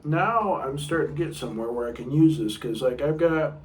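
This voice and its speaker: A middle-aged man with a low baritone voice teaching a casual guitar lesson, chatty and rambling, sometimes singing short notes. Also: animated, normal speed. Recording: room mic a bit far off, guitar playing.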